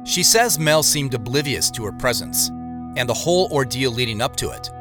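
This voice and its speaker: A man playing a narrator who recounts his own strange week in a measured, scripted first-person audio drama style. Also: medium pitch, lively intonation, natural voice. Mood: reflective, measured. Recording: music behind the voice. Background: guitar music.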